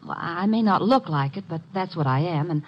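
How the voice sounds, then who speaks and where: A woman's voice: lowish voice, slight background noise, natural voice. An actress playing a coy, gushing young woman writer in 1950s radio drama style. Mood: eager. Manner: coquettish, gushing, hesitant pauses. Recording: dull vintage radio recording.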